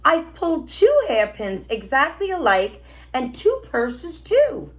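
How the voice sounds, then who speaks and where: Woman as an eager, high-pitched storybook old wife. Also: slow pace, heavily acted. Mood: excited. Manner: exclaiming, expressive.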